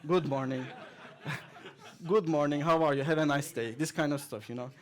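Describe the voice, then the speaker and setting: Man giving a relaxed tech talk. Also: a little high, moderate pace. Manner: relaxed and chatty, with many fillers, chuckling now and then. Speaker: conference speaker. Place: conference hall, audience present.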